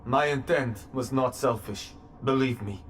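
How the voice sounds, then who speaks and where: An actor playing a stiff, earnest robot soldier in a video game, speaking in formal, stilted, polite phrases. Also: male voice, mid-range voice, a little slow, slight background noise, close to an everyday voice. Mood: apologetic, stiff. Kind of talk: video game cutscene acting.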